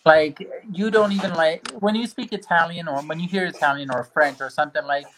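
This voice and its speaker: A man from Croatia, a native Croatian speaker, chatting in a relaxed, rambling way. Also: fairly high pitch, brisk, a little hiss or hum, poor audio. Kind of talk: casual group chat.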